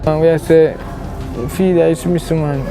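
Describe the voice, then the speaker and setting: A man's voice: a little high, little pitch movement, unhurried, music in the background. A man with a Jamaican accent recounting events casually in Patois, often repeating himself as an interviewee. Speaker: interviewee, relative of a missing person.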